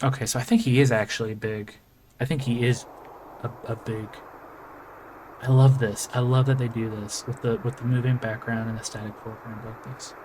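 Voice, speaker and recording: A man giving casual reaction commentary in a halting way, often repeating himself and mildly swearing. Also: mid-range voice, level tone, noisy background. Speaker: reactor, video creator.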